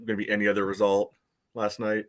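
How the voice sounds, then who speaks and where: Blunt sardonic low-voiced man. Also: monotone, fast talker. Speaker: podcast co-host. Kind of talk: casual sports podcast chat.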